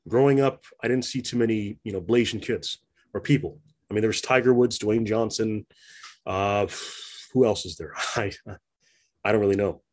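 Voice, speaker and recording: A man in an interview speaking reflectively, with many pauses, fillers and restarts. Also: lowish voice, a little mellow. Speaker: interview guest. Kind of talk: conversational interview.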